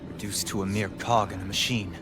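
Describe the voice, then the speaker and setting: An actor playing a grave, questioning member of an adventuring party in a video game. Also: male voice, deep voice, fairly even intonation, a little fast, close to an everyday voice. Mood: grave concern. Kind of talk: dramatic video game acting. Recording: loud music behind.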